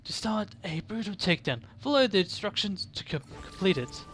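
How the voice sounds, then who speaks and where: A man's voice: sits high, sing-song, fairly quick, fairly bright tone, faint music behind. A man giving casual commentary as he plays a video game. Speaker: gamer, video host.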